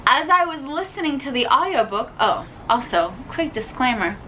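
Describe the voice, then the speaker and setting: A woman's voice: high voice, sing-song, moderate pace, sharp tone, a little hiss or hum, low-quality recording. A young woman whose first language is Spanish, chatting casually and ranting animatedly about a book, with lots of fillers. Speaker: book vlogger. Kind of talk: casual book review chat.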